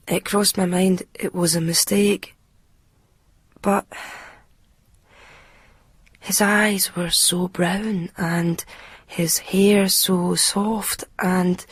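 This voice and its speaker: A woman's voice: lowish voice, slightly rough, dry recording, heavily acted. A woman acting in a radio drama as a timid, anxiously polite woman with a hesitant, apologetic manner. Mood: wistful.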